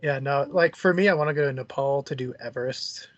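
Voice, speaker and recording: A man's voice: medium pitch, brisk, dry recording, highs cut off. A man hosting an interview in a casual, conversational style. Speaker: interview host.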